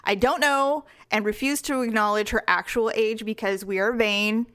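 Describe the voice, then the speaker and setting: A woman in her forties co-hosting a podcast, chatting casually with her sister, blunt and frank and quick to laugh. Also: a little high, steady tone, a bit bright. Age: 40s. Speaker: podcast co-host. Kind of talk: casual chat.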